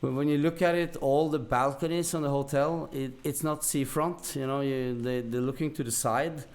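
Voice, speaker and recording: A man's voice: mid-range voice, fairly even intonation, moderate pace, a little mellow. A man with a Norwegian accent telling stories casually as a podcast guest, in a rambling, blunt, dry-humored way. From Norway. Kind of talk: casual storytelling.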